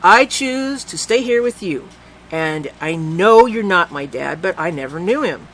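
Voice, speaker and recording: A man's voice: sits high, big pitch swings, normal speed, a bit bright, slight background noise, voice pushed up, rough recording. A man reading an audiobook, voicing a blunt, stubborn young girl who teases and pleads. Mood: tender.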